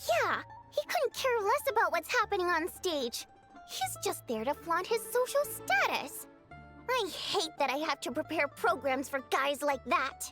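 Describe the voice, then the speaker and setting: A woman's voice: very high voice, sing-song, a little slow, bright, forceful tone, soft background music, heavily acted. A woman playing a haughty, indignant young Fae theater performer in a video game, with a theatrical, probing manner.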